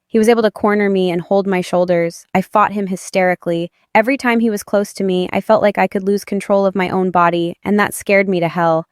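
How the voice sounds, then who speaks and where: A woman's voice: mid-range voice, steady tone, brisk, few pauses, clear, resonant tone, dry recording, studio quality. A woman giving dramatic first-person narration of a romance audiobook.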